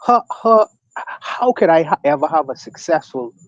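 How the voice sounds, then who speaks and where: A man with a Bahamian accent, hosting a casual livestream discussion and asking provocative questions in an animated way. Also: a little high, moderate pace, no room sound. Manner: animated, provocative questions, laughs at own points. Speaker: podcast host. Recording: dry, dull lo-fi mic.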